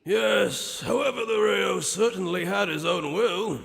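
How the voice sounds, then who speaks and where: A man performs an ancient, knowing anime sage with heavy gravel in a slightly high male voice. He speaks gravely and theatrically, drawing out key phrases, and every word rings in a long hall reverb. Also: a little slow, fairly bright tone, exaggerated voice. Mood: solemn, emphatic. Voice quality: gravelly, creaky. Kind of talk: anime fan dub acting.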